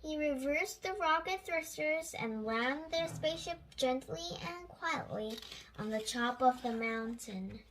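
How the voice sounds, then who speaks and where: A child with a high, bright voice reads a storybook aloud. The delivery is slow and careful, with the odd repeated word, and the pitch swings in a lively way. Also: a little hiss or hum, low-quality recording. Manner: careful oral reading with repeated words and stumbles.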